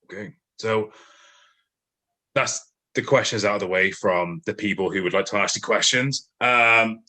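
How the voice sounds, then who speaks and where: Low voiced guy hosting a chat show, dry and kinda fed up, bright edge to it. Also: unhurried. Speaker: podcast host. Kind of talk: casual interview chat.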